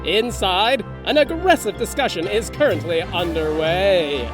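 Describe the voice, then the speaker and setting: A dramatic adventure-serial narrator, played by a man reads with a widely swinging, animated pitch. Clear music plays behind the scripted narration. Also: unhurried.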